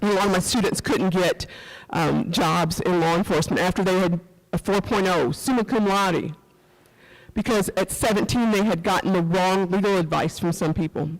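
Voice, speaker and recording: A middle-aged woman with a rural Missouri accent gives an earnest, halting personal talk as a speaker at a summit, repeating phrases for emphasis. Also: lowish voice. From rural Missouri, USA. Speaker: panel speaker, former police officer and professor. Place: event hall at a community center. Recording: echoey hall, long reverb.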